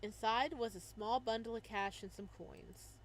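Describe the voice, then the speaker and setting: A woman's voice: a little high, fairly even intonation, normal speed, a little hiss or hum, poor audio. A woman reading a visual novel aloud, narrating and adding giggly, chatty asides.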